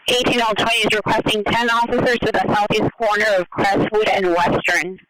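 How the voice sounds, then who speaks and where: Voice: medium pitch, a little fast, very noisy. A voice speaking over police radio, heard on a scanner.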